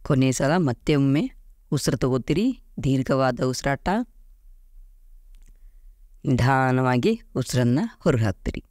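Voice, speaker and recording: Slow, soothing male meditation guide. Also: mid-range voice, a little mellow. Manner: slow, soothing, deliberate pauses. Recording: music behind the voice. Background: clear background music.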